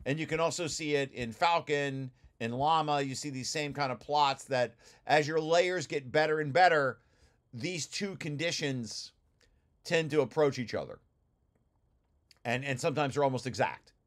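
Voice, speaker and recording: Higher-pitched man explaining research informally. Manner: halting, repeats and restarts phrases. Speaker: presenter of a research paper, study group leader. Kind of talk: informal technical explanation over shared slides.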